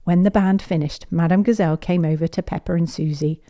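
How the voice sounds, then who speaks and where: A woman reading a children's picture book aloud as a storyteller, in an expressive, measured way. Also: on the low side.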